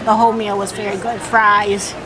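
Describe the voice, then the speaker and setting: A woman's voice: mid-range voice, flat delivery, moderate pace, a crowd in the background, low-quality recording. An older woman chatting casually outdoors as co-host of a travel vlog, easygoing and agreeable.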